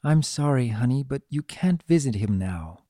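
Narrator doing a kind sad lady pony nurse, soft n gentle, close dry sound. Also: medium pitch, lively intonation, normal speed, dry recording, close to an everyday voice. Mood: sympathetic. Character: sympathetic, sorrowful adult female pony nurse.

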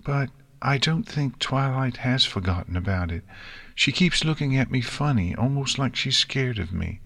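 A man's voice: mid-range voice, lively intonation, normal speed, gravelly, fairly bright tone, pitched above the performer's own voice. A man voicing an earnest, anxious young pony filly in a storybook reading. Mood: worried.

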